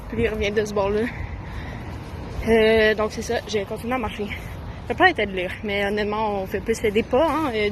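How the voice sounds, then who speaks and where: A young woman with a Quebec French accent, chatting excitedly to her phone camera while walking city streets during a game of hide-and-seek; she has a slight cold and a sore throat. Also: on the high side, noisy background. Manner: chatty, excitable, breathless when hurrying. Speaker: hide-and-seek player vlogging. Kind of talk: casual self-filmed vlog narration. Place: outdoors on busy city streets.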